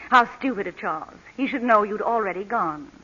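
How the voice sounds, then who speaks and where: A poised, witty society lady of a vintage radio play. Her bright voice swoops with arch, playful politeness. The sound is the dull one of an old broadcast. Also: mid-range voice, animated, moderate pace, slightly rough. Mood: cool, teasing. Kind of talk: 1940s radio acting.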